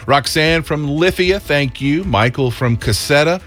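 A man's voice: fairly high pitch, expressive, a little slow, fairly bright tone, soft background music. A man hosting a radio fundraiser, upbeat, rattling off donor names and urging listeners to give. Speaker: radio host. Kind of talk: fundraising appeal.

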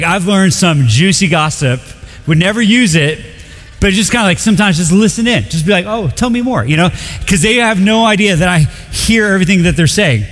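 Lively pastor preaching in an echoing church. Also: male voice, a little high. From Colombia. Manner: lively, joking, animated preaching. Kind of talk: sermon. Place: church sanctuary. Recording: long hall reverb.

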